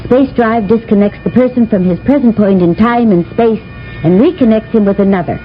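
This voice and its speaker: Actress as a brisk, higher-pitched space lieutenant. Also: female voice, natural voice. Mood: brisk, procedural. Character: brisk female space service lieutenant reciting regulations. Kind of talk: radio drama acting. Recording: muffled vintage radio recording, music behind.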